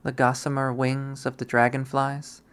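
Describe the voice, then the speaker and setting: A man's voice: mid-range voice, monotone, normal speed, a bit bright, clear, resonant tone. A man reading a visual novel aloud as dramatic first-person story narration.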